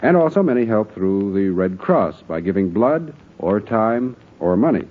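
A man's voice: lowish voice, big pitch swings, moderate pace. An American man working as a radio announcer, reading scripted continuity in a 1940s broadcast style. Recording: thin, narrow-band 1940s radio recording.

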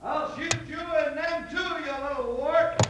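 An actor playing a hostile, trigger-happy western claim holder, shouting threats in an old radio drama. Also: male voice, very high voice, a little slow. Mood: furious. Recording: distant, echoing, very noisy old radio recording.

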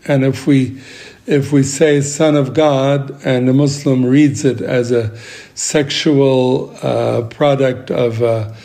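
A man's voice: mid-range voice, gravelly, dark tone. A man whose first language is Arabic, answering interview questions emphatically and acting out the exchanges he quotes. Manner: emphatic, laughs between points, acts out quoted exchanges. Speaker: interview guest, missions advocate.

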